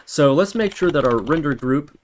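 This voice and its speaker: A man's voice: fairly high pitch, lively intonation, moderate pace, a little hiss or hum. Man talking through a coding tutorial step by step. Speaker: programming tutorial host. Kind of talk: screen-recorded coding tutorial, explaining step by step.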